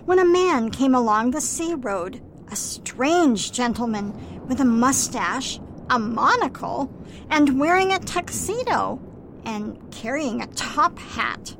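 A woman playing a suspicious, disapproving mother who nags slowly about her daughter's fiancé, in an amateur radio drama. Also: high voice, expressive, a little hiss or hum, exaggerated voice, rough recording. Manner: slow, suspicious, nagging.

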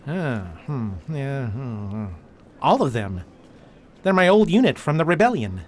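A man's voice: mid-range voice, very animated, a little slow. A man playing a defensive, exasperated war veteran in an audio drama, wry and a little hesitant. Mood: flustered.

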